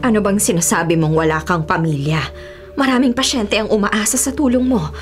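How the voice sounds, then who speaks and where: A kindly woman, played by an actress speaks calmly and reflectively. It is reassuring radio drama acting, with music under the voice. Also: mid-range voice, brisk, close to an everyday voice. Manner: calm, reflective.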